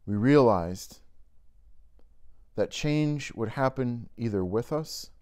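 A middle-aged man reads scripture and a devotional in a deliberate, unhurried voice of middle pitch. He is a pastor, seated in a cozy room indoors and recorded by a camera a short way off. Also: expressive, a little mellow. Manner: slow, measured reading aloud from a binder. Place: indoors in a home-style room by a fireplace.

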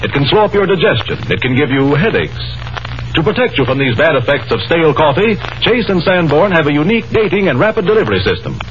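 A man's voice: a little high, sing-song, fast, slight background noise. An American man working as a radio announcer, reading a 1930s commercial in an emphatic, persuasive salesman delivery. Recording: muffled old 1930s radio broadcast.

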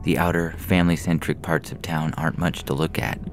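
A man's voice: extremely low pitch, steady tone, a little fast, over music. A man reading a science fiction story aloud as a scripted narration.

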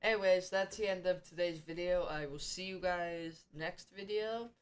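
A man's voice: high-pitched, normal speed, clear, resonant tone. A young man giving casual reaction commentary as the host of a video, recorded in a room at home. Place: small home room, indoors.

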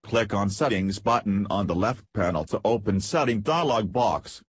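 Man reading a dry, husky software tutorial. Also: on the low side, animated, moderate pace, dry recording. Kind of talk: scripted narration.

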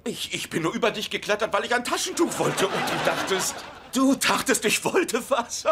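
Defensive, boyish sitcom husband, lively delivery. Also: male voice, high-pitched, normal speed, noisy background. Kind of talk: dubbed sitcom acting.

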